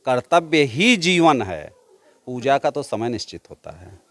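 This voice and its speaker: A man's voice: medium pitch, big pitch swings, a little slow, fairly bright tone, no echo. A male teacher, giving an earnest, exhorting talk outdoors at a village school. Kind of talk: speech and leading children's slogans. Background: children in a crowd.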